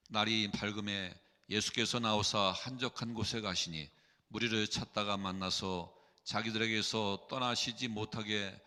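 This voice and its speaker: A man reading scripture aloud in a steady, formal way. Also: fairly low pitch. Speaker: scripture reader. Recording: long church reverb.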